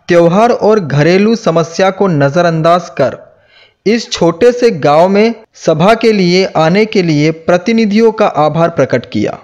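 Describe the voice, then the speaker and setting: High-pitched man narrating slowly and formally. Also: darkish tone. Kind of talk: scripted narration of a historical speech. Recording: music behind the voice. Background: background music.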